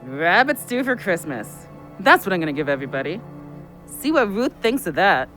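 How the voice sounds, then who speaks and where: Reckless, high-voiced wild man. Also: moderate pace, heavily acted. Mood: wild, excited. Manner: excitable, insistent. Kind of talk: audio drama acting. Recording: music behind the voice.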